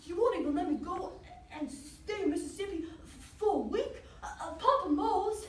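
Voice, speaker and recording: A woman's voice: extremely high pitch, lively intonation, moderate pace, voice pushed up. A woman playing an eager, earnest teenage boy with a stammer, pleading and confident in a dramatic solo performance. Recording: roomy, distant mic, faint noise.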